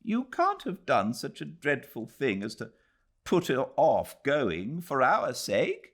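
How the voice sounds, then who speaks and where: A man voicing a polite, earnest elderly English gentlewoman in an audiobook, with a British accent. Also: mid-range voice, wildly swooping pitch, takes it slowly, voice pushed up, full character voice. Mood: distressed, pleading.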